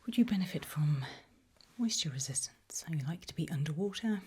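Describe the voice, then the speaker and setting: A woman performs an ASMR roleplay in a very breathy, soft whisper. Her voice sits low, and she speaks politely and formally, like a tailor consulting a client with careful, measured questions. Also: expressive.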